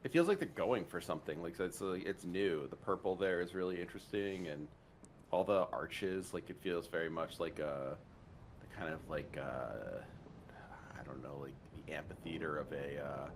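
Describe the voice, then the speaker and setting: A man's voice: extremely low pitch, moderate pace, slight background noise. A man co-hosting a let's play, chatting casually about the game with wry, dry quips. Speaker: let's play co-host watching and commenting. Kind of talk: casual chat, game commentary.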